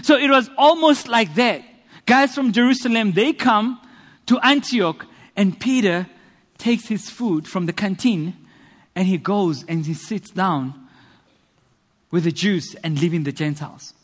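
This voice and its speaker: High-voiced South African man preaching. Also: a little slow, fairly bright tone. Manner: earnest, rhetorical, builds emphasis through repetition. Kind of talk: sermon with personal testimony. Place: church hall. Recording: long reverb of a church hall.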